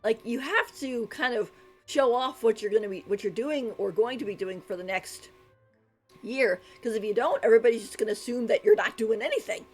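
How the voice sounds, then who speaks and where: A woman's voice: on the high side, expressive, a little fast. A woman live streamer giving casual, amused commentary on a game in a giggly manner. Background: faint game music.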